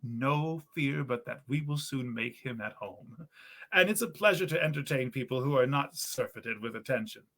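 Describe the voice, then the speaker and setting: Earnest young gentleman student, slightly creaky, read by a man. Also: medium pitch, normal speed. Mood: urgent, considerate. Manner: eloquent, animated, old-fashioned speech. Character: earnest, good-natured young male student, genteel and kindly. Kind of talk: storybook character reading.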